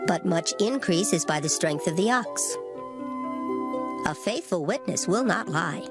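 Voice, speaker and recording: A woman giving a dramatized reading of scripture in formal old English, measured and solemn. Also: on the low side, expressive. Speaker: scripture reader. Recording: loud music behind the voice. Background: music.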